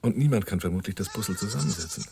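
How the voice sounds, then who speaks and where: An actor playing a cool, laconic killer and former merchant in a radio crime drama, speaking tersely with a gravelly, husky voice. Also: male voice, fairly low pitch, expressive, rapid-fire, background noise. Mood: laconic, musing. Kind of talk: German radio crime drama acting and narration.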